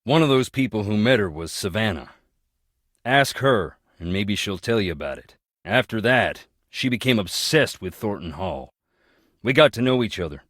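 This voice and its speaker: A brooding man from a troubled moneyed family, speaks low in a dry, close recording. He is a video game character who tells things plainly, with folksy turns, wry humor and bitterness. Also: expressive, moderate pace, a bit bright, no room sound. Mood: wistful, rueful.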